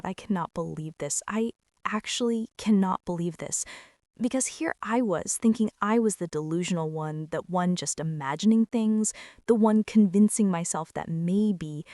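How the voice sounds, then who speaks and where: A woman's voice: mid-range voice, warm tone, no room sound, clean studio sound, close to an everyday voice. A woman playing a bossy, flustered college girl confessing a secret crush in a dramatic, teasing girlfriend ASMR roleplay. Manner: dramatic, teasing, breathy whispers mixed with indignant exclamations.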